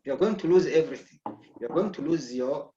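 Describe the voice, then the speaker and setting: A man's voice: on the high side, expressive, brisk, dark tone, slight background noise. Echoey man lecturing on a call. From Zambia. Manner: repeats "okay?" to check understanding. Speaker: agriculture lecturer. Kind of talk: online class lecture. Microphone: video call. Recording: echoey, dull video call audio.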